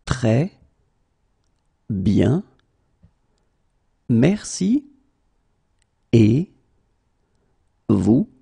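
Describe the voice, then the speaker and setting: A man's voice: mid-range voice, big pitch swings, drawn-out words, creaky, pauses often, dark tone, breathy edge, dry recording. A male native French teacher drilling phrases one at a time, repeating each and then breaking it into single words. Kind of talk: slow phrase-by-phrase language drill.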